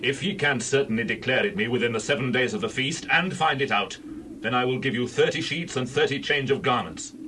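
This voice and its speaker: A man playing a proud, vengeful biblical strongman in a dramatized scripture reading, speaking in a deliberate, declamatory way. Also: mid-range voice, pushed and bright, slight background noise, close to an everyday voice, low-quality recording. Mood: challenging.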